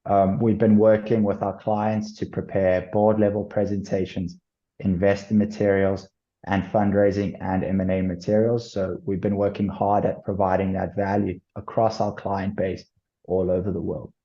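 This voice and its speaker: A man with a South African accent, from South Africa and originally Bulgarian-speaking, giving a measured, formal introduction on a business webinar. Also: low-pitched, little pitch movement, dark tone. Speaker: corporate finance lead. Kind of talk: webinar presentation. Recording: dull lo-fi call audio.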